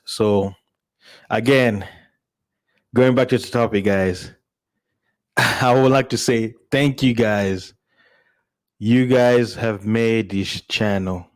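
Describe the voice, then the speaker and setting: A man from Congo chatting casually to his listeners as a streamer and podcast host, in an informal way. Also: lowish voice. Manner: informal, chuckles often.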